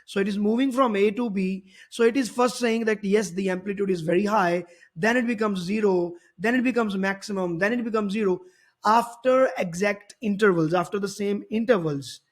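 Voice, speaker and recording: A man's voice: high-pitched, normal speed. A man teaching physics, explaining a worked problem step by step and often repeating and rephrasing his points.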